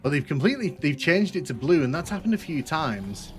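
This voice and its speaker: A man's voice: on the high side, very animated, brisk. Chatty Mancunian man streaming a game. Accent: Manchester English. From Northern England. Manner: rambling, self-correcting, chatty. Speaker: gaming streamer. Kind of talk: casual live-stream chat while playing. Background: game music.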